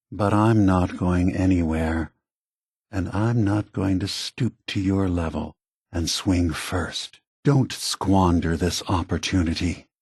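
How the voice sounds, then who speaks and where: An actor playing an aging prototype android leader in a video game, a gentle, philosophical idealist with a soft, husky voice and measured, earnest phrasing. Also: male voice, low voice, dry recording, studio quality, full character voice. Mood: firm, resolute. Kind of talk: acted video game dialogue.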